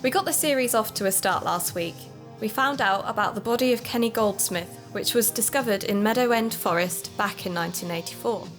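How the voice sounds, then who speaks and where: Actress doing a woman podcast investigator, audio drama, medium pitch, sets the scene, teases her partner, bit of music behind. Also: a little fast, close to an everyday voice. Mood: narrating. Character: Gemma, teasing, supportive woman podcast host and investigator. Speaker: podcast host.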